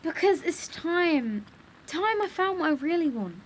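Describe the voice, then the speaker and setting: An actress playing a firm, wistful young video maker, earnest and plain-spoken, in an audio drama. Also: female voice, very high voice, sing-song, a little slow, slight background noise, full character voice. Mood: resolute, wistful.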